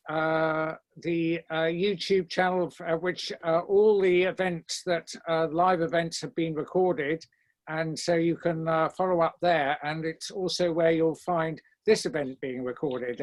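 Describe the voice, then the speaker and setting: A man hosting an online event informally, speaking in a hesitant, halting and courteous manner. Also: fairly high pitch, no echo. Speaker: event host. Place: online video call.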